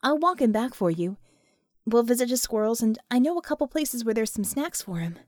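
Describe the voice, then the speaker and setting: A woman voicing a brisk young technomage woman, lively pitch. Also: no room sound, studio quality, natural voice. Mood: friendly, casual.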